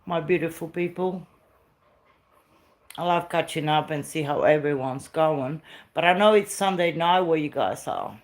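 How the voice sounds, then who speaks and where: Middle-aged woman, South American origin, relaxed home streamer; unhurried and chatty, phone mic indoors. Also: sits low. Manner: chatty, talks sweetly to her dog. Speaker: live streamer. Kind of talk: casual home live stream tour. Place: rented house, indoors.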